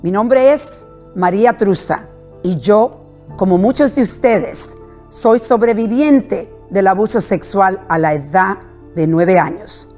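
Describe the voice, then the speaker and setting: Slow-speaking middle-aged woman host, music under. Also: mid-range voice, darkish tone. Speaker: talk show host. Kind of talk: talk show interview. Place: talk show studio set.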